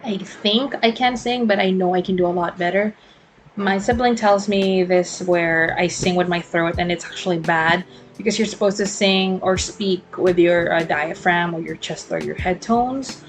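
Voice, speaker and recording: A woman's voice: fairly low pitch, normal speed, slight background noise. A young woman, hosting a casual solo podcast, talking in a rambling, reflective way with many fillers and self-corrections. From Philippines. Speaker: podcast host.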